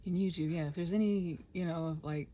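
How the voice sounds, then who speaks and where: A woman rambling casually to listeners as she works, muttering and thinking aloud in a self-deprecating way, her soft voice often dropping near a whisper. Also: on the low side, fairly even intonation, brisk, lo-fi sound, slight background noise. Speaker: audio roleplay and ASMR creator.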